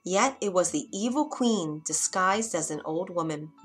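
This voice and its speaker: A woman's voice: lowish voice, expressive, measured pace, husky. A woman storyteller reading a scripted bedtime fairytale for children.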